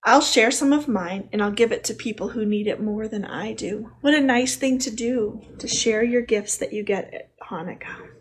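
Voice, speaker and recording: A woman's voice: fairly high pitch, moderate pace, hardly any pauses, rough recording. A woman hosting a children's storytime, reading a picture book aloud with simple, chatty asides to the young listeners. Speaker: storytime host.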